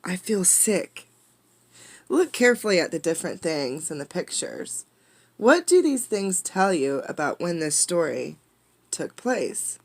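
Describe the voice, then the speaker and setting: Lower-pitched woman reading a storybook aloud. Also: dry recording. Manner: expressive, pausing to ask children questions. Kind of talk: interactive storybook read-aloud.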